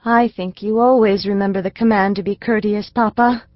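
A woman voicing an earnest, sensitive young girl with a high, eager voice. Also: steady tone, normal speed, no room sound, highs cut off, lightly acted. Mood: indignant.

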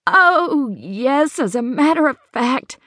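Brief: a woman doing a frantic, cheated housewife in a storybook, high and trembling, voice leaping all over. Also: very animated, slow, fairly bright tone, no room sound, clean studio sound, full character voice. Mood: anxious. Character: distraught middle-aged woman cheated of her savings.

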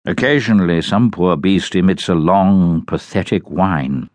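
A man narrating an audiobook of a journal, reading in a measured, literary and expressive manner. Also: deep voice, no room sound. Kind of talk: scripted dramatic reading of a journal.

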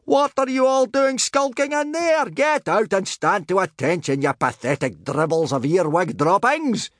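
Giant Viking teacher, roaring, insulting, gleefully savage, very animated, dry close sound. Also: male voice, high-pitched, a little slow, no echo, voice pushed up. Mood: bellowing contempt. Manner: roaring, insulting, drill-sergeant delivery.

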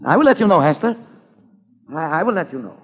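An actor playing a nervous, evasive husband in 1940s radio drama style, halting, agitated and repeating himself. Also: male voice, high-pitched, very animated, heavy background noise. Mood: nervous, fearful. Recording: muffled, reverberant old radio recording.

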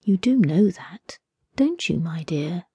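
A calm, menacing male captor breathes out his threats in a hushed whisper, voiced by a woman. The tone is dark and mellow, the delivery unhurried and emotionless, close and dry. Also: on the low side, husky, dry recording, full character voice.

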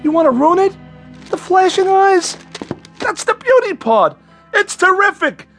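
A mild, apologetic overgrown boy of a toy designer in a radio drama. His pitch jumps around freely as he turns from hesitant to stubbornly excitable. Faint music runs beneath him. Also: a little slow, exaggerated voice. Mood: excited, defensive. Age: 30s.

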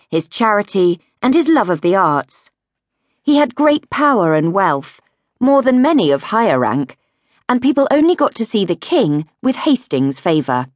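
A woman reading a history audiobook as a scripted narration, in a measured, clearly phrased storytelling manner. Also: fairly low pitch, a little mellow, dry recording, clean studio sound. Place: recording studio.

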